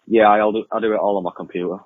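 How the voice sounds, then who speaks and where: A young man with a very deep, rough-edged voice gives casual, halting interview answers. He repeats himself informally. The sound is narrow and muffled, like a poor remote connection. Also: fairly even intonation. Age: young adult. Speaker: interview guest, amateur music producer and podcaster. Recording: muffled lo-fi, roomy echoing remote link.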